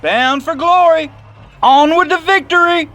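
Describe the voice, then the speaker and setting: A man doing a swaggering, high-pitched preacher hustler. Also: unhurried, sharp tone, heavily acted. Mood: triumphant shouting. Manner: booming, pushy, cocky. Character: brash, boastful male hustler preacher, bullying and swaggering. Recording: music bed under the voice.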